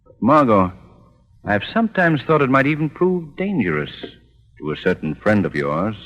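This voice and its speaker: A polished, brooding gentleman detective from a vintage radio serial. His lowish voice is urbane and thoughtful, with lively intonation. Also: moderate pace, highs cut off. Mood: pensive. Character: suave, brooding gentleman sleuth with secret identity. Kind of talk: 1930s radio drama acting.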